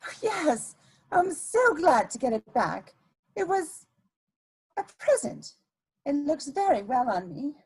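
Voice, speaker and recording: Worldly society adventuress, woman performer, high and lilting with big pitch swings; coquettish, theatrical, scheming, for a period comedy play. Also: big pitch swings, a little mellow, heavily acted, low-quality recording. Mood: airy, evasive. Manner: theatrical, coquettish, drawn-out emphasis.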